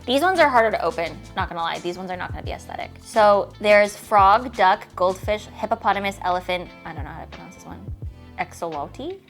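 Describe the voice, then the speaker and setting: A woman's voice: mid-range voice, expressive, a little fast, a bit bright, faint music behind. Chatty fitness woman in her late twenties. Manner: chatty and rambling, full of "like" and "okay?"; gushing, squealy delight over little toys. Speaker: fitness creator and bodybuilder. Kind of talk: casual vlog Q&A and unboxing.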